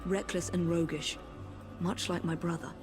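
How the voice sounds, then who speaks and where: An actress playing a resolute, serious woman assassin investigating murders in a video game, speaking in a measured way. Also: on the low side, steady tone, over music, lightly acted. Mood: pensive. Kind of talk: dramatic video game acting.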